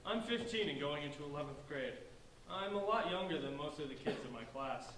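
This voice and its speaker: A man's voice: a little high, animated, a little fast, lightly acted. Man playing an earnest bookish teenage boy, echoey. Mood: philosophical. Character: fifteen-year-old boy, bookish, polite, philosophical outsider. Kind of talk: staged play reading. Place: large echoey indoor room, distant microphone. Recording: echoey room from far off, faint noise.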